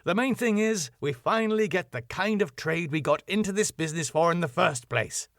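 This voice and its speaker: A man playing an excitable, flamboyant married ballroom club owner in a sitcom script reading. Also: high voice, normal speed, no room sound, clean studio sound. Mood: excited, exasperated. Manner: flamboyant, exclamatory.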